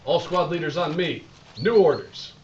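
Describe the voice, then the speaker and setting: A man's voice: a little high, animated, moderate pace, close to an everyday voice. Man playing earnest American sergeant, slightly muffled. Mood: dutiful, angry. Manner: dutiful, plain-spoken. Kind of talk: audio drama acting. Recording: slightly muffled, noisy ambient backdrop.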